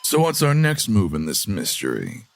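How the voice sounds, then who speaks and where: An actor playing a sly, menacing crook with theatrical hardboiled patter in a comedy adventure audio drama. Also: male voice, fairly low pitch, huge pitch swings, normal speed, vocal fry, soft background music, full character voice. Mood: sly, conspiring.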